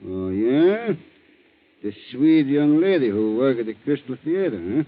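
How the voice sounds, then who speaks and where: A man's voice: medium pitch, big pitch swings. Falsely friendly fisherman blackmailer, broken English, swooping intonation, thin phone-like vintage radio sound. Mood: slyly menacing. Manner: broken English, insinuating, drawn-out threats. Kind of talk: 1940s radio acting. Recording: tinny, narrow-band 1940s radio recording.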